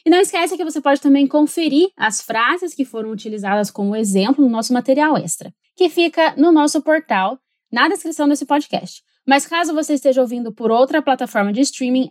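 Brazilian woman host, slightly high voice, dry close-mic podcast, clear teacherly explaining. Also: animated, fairly quick, few pauses, darkish tone, dry recording. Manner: clear, explanatory, switches between languages. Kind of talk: podcast language lesson.

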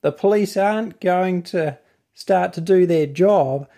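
New Zealand man hosting a solo talk on law, slightly high, warm and mellow voice, digressive delivery. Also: takes it slowly. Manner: digressive, wanders off and finds his thread again. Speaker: host, legal author. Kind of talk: casual explanatory monologue.

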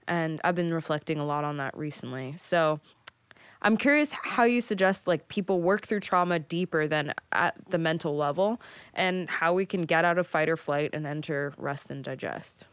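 A young woman in her twenties co-hosts a podcast in a chatty, upbeat way, full of "like" and "um." Her voice sits a little low and sounds smooth. The recording is close and dry. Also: normal speed, no echo. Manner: upbeat, chatty, filler words like "like" and "um". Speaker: podcast producer and co-host. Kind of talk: casual podcast chat.

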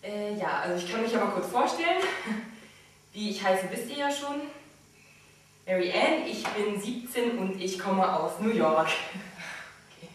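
A woman's voice: medium pitch, expressive, a little slow, pauses often, recorded from a distance, slight background noise, close to an everyday voice. A woman playing a wry seventeen-year-old girl on an echoey stage. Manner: wry, chatty, addresses the audience with questions. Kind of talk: stage monologue to the audience.

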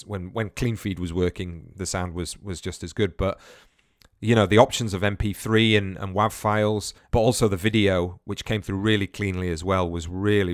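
A man's voice: deep voice, dry recording, clean studio sound. A man hosting a podcast, talking casually and rambling, with frequent restarts and repeated words. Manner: chatty, rambling with many restarts. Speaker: podcast host. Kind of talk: casual solo podcast talk. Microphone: remote-recording podcast setup with headphones.

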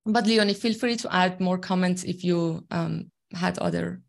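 A woman's voice: lowish voice, moderate pace, creaky. Farsi-speaking woman explaining earnestly. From Iran. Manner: earnest, explaining from experience, many fillers. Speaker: guest, tech team leader. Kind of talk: interview conversation.

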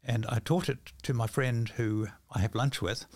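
An older man chats as a podcast guest, halting and often repeating his words. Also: medium pitch, fairly even intonation, darkish tone, no echo. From New Zealand. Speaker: podcast guest, magician and author. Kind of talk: casual conversation.

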